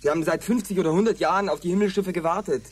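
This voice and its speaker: Husky, higher-voiced desperate colonist leader. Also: male voice, very fast. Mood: bitter, resigned. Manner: blunt, commanding. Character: desperate, bitter male colonist leader, forceful and determined. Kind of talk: German radio drama acting.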